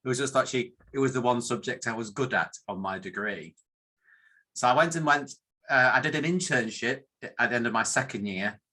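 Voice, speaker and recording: A man's voice: mid-range voice, brisk, pushed and bright. A middle-aged man with a northern English accent gives an informal career talk in a meandering, self-deprecating way, often restarting his sentences. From northern England. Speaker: academic statistician giving a career talk. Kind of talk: informal presentation.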